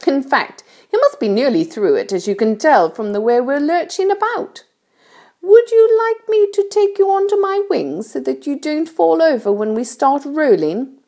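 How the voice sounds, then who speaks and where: A woman voicing a kind, gentle ladybird in a storybook, explaining things in an assured, reassuring way. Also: high-pitched, animated, normal speed, voice pushed up.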